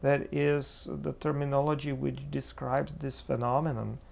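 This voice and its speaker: Male Czech-accented preacher, unhurried and gravelly, thoughtful discussion guest who gropes for the right word. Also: medium pitch, flat delivery, darkish tone. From Czech Republic. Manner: halting, searching for words. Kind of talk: reflective theological discussion.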